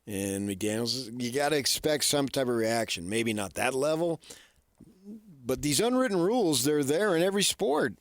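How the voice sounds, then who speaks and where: A middle-aged American man co-hosting sports radio, giving opinions conversationally and haltingly, as if thinking aloud. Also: mid-range voice, lively intonation, no room sound. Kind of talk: casual sports talk opinion. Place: radio studio. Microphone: close studio microphone.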